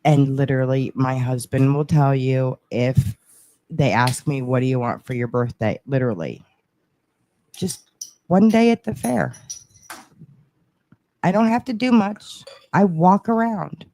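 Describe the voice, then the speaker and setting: A woman's voice: extremely low pitch, unhurried, long pauses, dark tone, a little hiss or hum. A woman in her forties co-hosting a podcast, chatting casually and earnestly about family traditions. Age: 40s. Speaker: podcast co-host. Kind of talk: casual chat.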